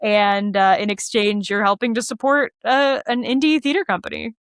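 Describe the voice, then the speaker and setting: A woman chatting casually as a podcast guest, playful and animated in the way she talks. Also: a little high, normal speed, clean tone, dry recording, studio quality. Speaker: podcast guest, musical theater writer and performer. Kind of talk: casual interview chat.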